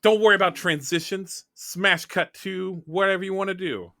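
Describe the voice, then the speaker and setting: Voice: mid-range voice, fairly even intonation, moderate pace, bright, forceful tone, dry recording, studio quality. A tabletop player chatting casually and explaining the rules of the game. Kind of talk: casual chat.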